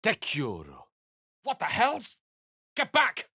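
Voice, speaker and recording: A man's voice: sits high, huge pitch swings, slow pace, pushed and bright, no echo, studio quality, exaggerated voice. A man acting an eloquent, cultured wizard in a video game, earnest and wry, with articulate, ornate phrasing. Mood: incanting, then animated.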